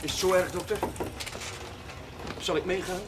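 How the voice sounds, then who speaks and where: A man's voice: high voice, fairly even intonation, lightly acted. Man playing a shy young Dutch chaplain, noisy soundtrack. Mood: worried. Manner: hesitant, halting, earnest. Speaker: actor in television drama. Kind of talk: naturalistic 1970s television drama acting. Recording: noisy 1970s television soundtrack.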